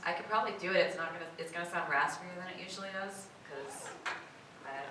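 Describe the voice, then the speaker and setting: A hoarse, raspy woman with a low voice chats through fan questions as a convention panel guest, talking herself down with plenty of fillers. She is heard from well across a very echoey room. Steady background noise fills the hall. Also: fast, distant mic. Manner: chatty and self-deprecating, many fillers. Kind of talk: casual panel Q&A answers. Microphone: filmed by a camera from across the room.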